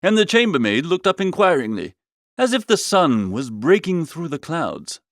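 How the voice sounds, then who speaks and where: Lively, high-pitched male comic narrator. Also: moderate pace, no room sound, clean studio sound. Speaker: audiobook narrator. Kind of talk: comic first-person scripted narration.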